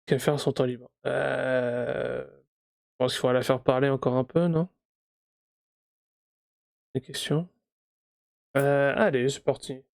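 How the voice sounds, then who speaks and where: A man's voice: mid-range voice, little pitch movement, a little slow, gravelly, long pauses, a little mellow, no echo. A man streaming a game live, making offhand, mocking asides as he picks choices, on a close streaming mic. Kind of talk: live gameplay commentary.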